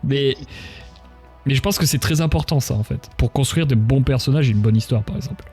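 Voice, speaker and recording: A man's voice: medium pitch, brisk, a little mellow, breathy edge, faint music behind. A man hosting a casual livestream interview, speaking in a hesitant, searching way and often repeating phrases. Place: live online stream.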